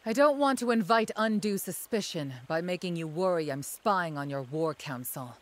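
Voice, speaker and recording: A woman's voice: on the low side, lively intonation, moderate pace, natural voice. A woman voicing a proud young army general in a video game, cool, formal and guarded. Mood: composed, guarded.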